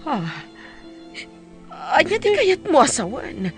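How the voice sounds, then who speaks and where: A woman's voice: sits high, very animated, takes it slowly, exaggerated voice. A woman playing a doting, worried older mother who fusses and pleads, with an Ilocano accent, in a radio-style drama. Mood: hurt, pleading. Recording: music behind the voice.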